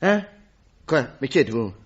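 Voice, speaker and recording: An actor playing a loyal companion who tells the tale vividly in a French radio drama and is easily exasperated. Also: male voice, medium pitch, very animated, moderate pace, husky, dry recording. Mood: startled, annoyed. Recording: dull old radio recording, crowd murmur behind.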